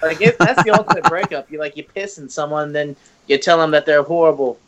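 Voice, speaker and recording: High, light-voiced joking man. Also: steady tone, fairly quick, a bit bright. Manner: profane, joking, self-mocking. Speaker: guest musician. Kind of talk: casual podcast chat.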